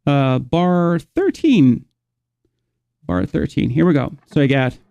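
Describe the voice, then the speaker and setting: A man's voice: fairly high pitch, animated, slow pace, creaky, mellow, highs cut off. A man from the Netherlands, teaching a live guitar lesson and rambling as he thinks aloud, stopping to restart his sentences.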